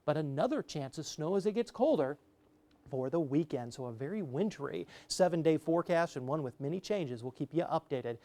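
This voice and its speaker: A man with a fairly high, bright voice gives the morning weather on local TV. He talks in a chatty, easygoing way, with a few fillers and self-corrections. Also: expressive, moderate pace. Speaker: meteorologist. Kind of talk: TV weather forecast.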